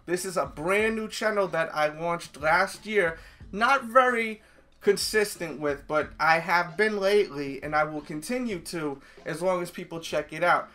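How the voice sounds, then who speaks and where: A chatty man with a New York accent talks straight to his viewers, rambling with fillers. His voice is bright and forceful and sits a little high. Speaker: sneaker YouTuber. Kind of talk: casual vlog talk to viewers.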